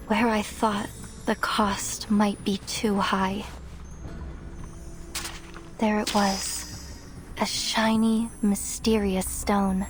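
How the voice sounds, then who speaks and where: Actress as young woman explorer, game character, determined, reflective, slow measured delivery, medium pitch, soft music underneath. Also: close to an everyday voice. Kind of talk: scripted game character voice lines. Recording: music behind the voice.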